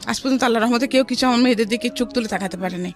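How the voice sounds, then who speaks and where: A woman's voice: on the high side, racing. Middle-aged Bangladeshi woman, music behind. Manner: conversational, with English words mixed in. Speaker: interview guest telling her life story. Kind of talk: personal storytelling in conversation.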